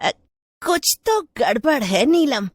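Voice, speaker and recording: Cartoon friend played by a woman: anxious, fretful housewife, high-pitched, dry recording. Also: very animated, slow pace, dry recording, exaggerated voice. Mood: wary. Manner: worried, fretful. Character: anxious housewife friend, wary and easily frightened. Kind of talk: Hindi cartoon storytelling.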